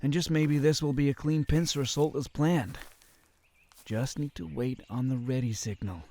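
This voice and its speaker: An actor playing a disciplined, dry-witted young crusader in a medieval fantasy audio drama, speaking in measured, formal phrases. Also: male voice, mid-range voice, dark tone, close to an everyday voice. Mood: tense self-doubt. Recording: faint background noise.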